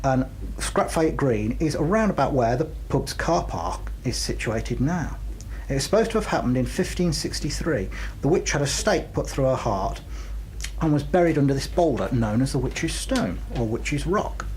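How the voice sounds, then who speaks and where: A man's voice: mid-range voice, expressive, moderate pace, darkish tone, a little hiss or hum, poor audio. A man co-hosting a radio show and reading news items in a studio. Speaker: radio co-host.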